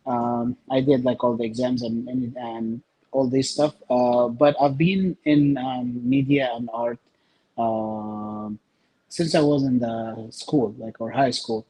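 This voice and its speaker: A man with an Egyptian accent giving casual interview answers in short, halting phrases with many pauses. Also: medium pitch, fairly even intonation, warm tone, poor audio. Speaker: interview guest, filmmaker.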